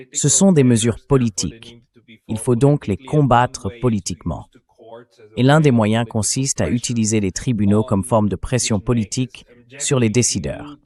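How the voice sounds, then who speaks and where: A man's voice: mid-range voice, a little mellow. A man moderating a panel in a translated voice-over, speaking in a structured, orderly way. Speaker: debate moderator.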